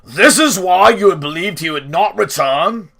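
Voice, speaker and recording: A man's voice: high-pitched, lively intonation, moderate pace, gravelly, a bit bright, voice pushed up, exaggerated voice. A man voicing an imperious, hot-tempered old lord and doting grandfather in a storybook, blustering when crossed. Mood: suspicious, scornful.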